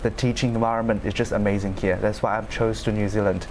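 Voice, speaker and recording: A young man from China, a law student, answering panel questions in steady, fluent speech with non-native grammar. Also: on the low side, quick pace, a little hiss or hum. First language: Chinese.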